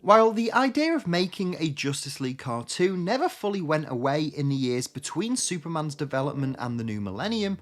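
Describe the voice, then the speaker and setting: Male video essay narrator, higher-pitched and animated, scripted read over background music.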